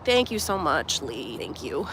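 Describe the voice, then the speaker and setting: A woman's voice: deep voice, big pitch swings, a little slow, low-quality recording. A young woman talks to her live-stream viewers while walking outside, her voice a touch nasal from a cold. She sounds earnest and reflective and drifts through plenty of "um"s and "like"s. A faint outdoor hum sits behind her. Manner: warm, earnest, reflective, many fillers like "um" and "like". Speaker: life coach and channel host. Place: outdoors at a resort at sunrise.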